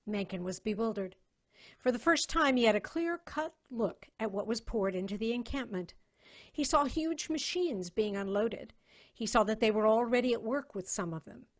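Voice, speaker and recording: A woman narrating a science fiction story as a scripted dramatic reading. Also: on the high side.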